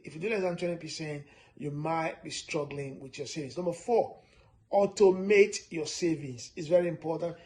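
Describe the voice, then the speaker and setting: A man from Nigeria giving a steady, repetitive finance lesson, stressing his points with frequent "uh" fillers. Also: fairly high pitch. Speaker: personal finance presenter. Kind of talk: instructional talk to camera.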